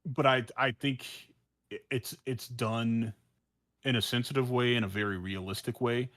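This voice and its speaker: A man's voice: medium pitch, steady tone, normal speed, a bit bright. A man in a casual podcast discussion, speaking informally and emphatically, often repeating words as he works out his point. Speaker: podcast panelist.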